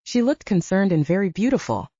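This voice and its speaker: A woman's voice: mid-range voice, sing-song, a little fast, mellow, slightly rough, dry recording, dull audio. A woman reading scripted audiobook narration with dramatic storytelling delivery.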